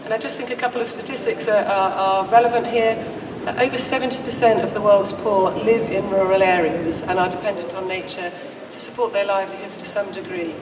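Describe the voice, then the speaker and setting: A woman researcher with a croaky voice giving a measured conference presentation. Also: mid-range voice, fairly even intonation. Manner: measured, explanatory, with occasional restarts. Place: large conference hall. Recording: echoey hall recorded from far off with noise.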